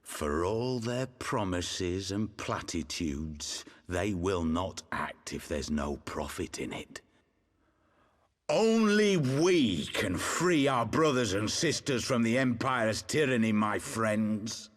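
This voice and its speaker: A man's voice: lowish voice, sing-song, slow pace. An actor playing an impassioned rebel leader rallying his exiled countrymen in a game cutscene, with emphatic, declamatory oratory. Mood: grim, defiant. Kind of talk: theatrical video game oratory.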